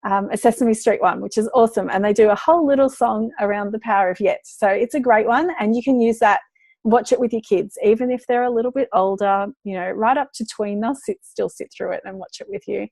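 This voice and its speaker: A woman's voice: on the high side, a little fast, a little mellow, no echo. A woman who is losing her voice, talking in a chatty, encouraging way to parents about teaching children. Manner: chatty, encouraging, lots of fillers. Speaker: parenting educator. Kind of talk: casual talk to parents.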